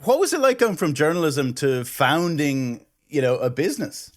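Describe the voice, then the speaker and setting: A man's voice: fairly high pitch, very animated, moderate pace. A man hosting a podcast, asking questions in a conversational interview style.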